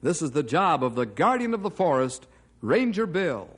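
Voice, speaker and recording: A vintage radio announcer who narrates with dramatic emphasis and talks straight to his listeners. His voice sits a little high and swings widely in pitch. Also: big pitch swings, normal speed, a bit bright, breathy edge, exaggerated voice. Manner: dramatic, emphatic listing, addresses listeners directly. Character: adult male radio announcer narrating the story.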